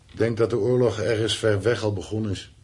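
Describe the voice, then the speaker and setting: A man's voice: on the low side, steady tone, brisk, a little mellow, husky. An actor playing a weary, defensive, practical man in a radio play, reassuring but turning irritable when challenged. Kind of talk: naturalistic radio play acting. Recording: noisy radio play recording with background ambience.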